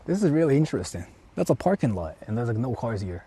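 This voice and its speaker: A young man talks quietly with viewers as he walks outdoors. His husky voice has a mellow, dark tone, and his pitch rises and falls in lively swings. Much of it comes out as a low murmur. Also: quick pace. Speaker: vlogger streaming while exploring. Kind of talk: casual livestream chat, answering viewers. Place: outdoors walking through an empty housing estate.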